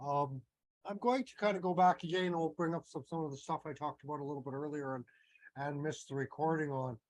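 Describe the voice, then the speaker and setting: A man's voice: on the high side, darkish tone, no room sound, low-quality recording. A man with a Canadian accent giving a casual, meandering talk, thinking aloud with many fillers and restarts. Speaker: astrology teacher.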